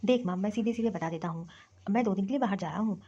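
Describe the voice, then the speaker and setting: A woman's voice: medium pitch, fast talker, dark tone, husky, natural voice. A woman playing a protective young husband in a Hindi family cartoon, reasoning earnestly and insistently. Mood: protective, placating. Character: protective young husband, placating his mother. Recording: dull, lo-fi recording.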